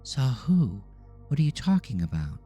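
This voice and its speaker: A man's voice: medium pitch, sing-song, moderate pace, warm tone, faint music behind, pitched above the performer's own voice, lightly acted. A man voicing a shaken woman schoolteacher with urgent, questioning delivery in an audio drama. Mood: startled, urgent.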